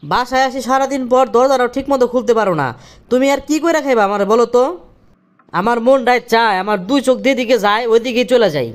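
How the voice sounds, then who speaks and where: A man's voice: very high voice, fast, smooth. A man playing an irritable, scornful two-timing husband and office boss in a cartoon drama, curt in manner. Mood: harsh, angry. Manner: scolding, curt.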